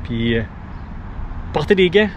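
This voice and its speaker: A man's voice: a little high, animated, measured pace, highs cut off, a little hiss or hum. A man in his thirties with a Québécois French accent, casually talking through a fiberglass repair step by step while he works outdoors in a boatyard. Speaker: sailing vlogger. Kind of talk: hands-on repair demo. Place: outdoor boatyard under a hull. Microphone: action camera close up.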